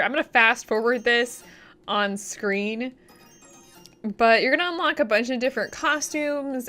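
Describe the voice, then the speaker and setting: Casual woman gamer over soft music. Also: fairly high pitch. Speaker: game streamer, let's play host. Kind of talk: casual game commentary. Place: voice-over on game capture. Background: game music.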